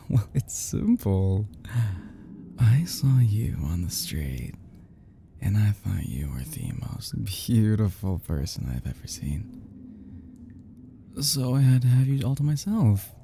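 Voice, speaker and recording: A male actor plays an obsessive male kidnapper in his thirties, lovesick and fragile, in an intimate ASMR roleplay. His voice is very dark and mellow, with a creaky, gravelly edge and a slow, halting delivery that stumbles and drops into whispers. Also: lowish voice, sing-song, slight background noise, full character voice. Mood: lovesick, unhinged. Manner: halting, stammering, slips into breathy whispers.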